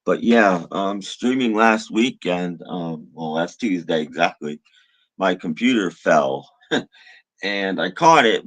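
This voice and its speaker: Man, low-pitched, rough slightly hoarse voice, casual livestream chat, halting, low-key delivery. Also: a bit bright. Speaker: live streamer.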